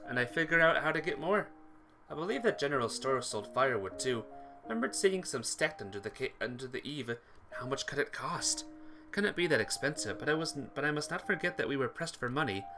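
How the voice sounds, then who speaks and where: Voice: medium pitch, expressive, brisk, music in the background. A streamer reading a visual novel aloud expressively, with casual asides to viewers. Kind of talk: game playthrough narration with chat asides.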